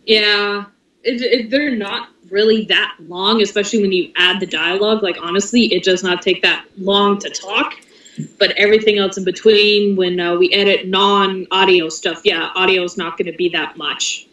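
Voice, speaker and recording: A woman with a very bright voice chats in an agreeable, informal way during a casual group meeting. She sounds echoey and somewhat far from the microphone. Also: mid-range voice, steady tone, moderate pace, lo-fi sound. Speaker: project team member. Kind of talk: casual chat in an online meeting. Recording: echoey, distant voice-call microphone.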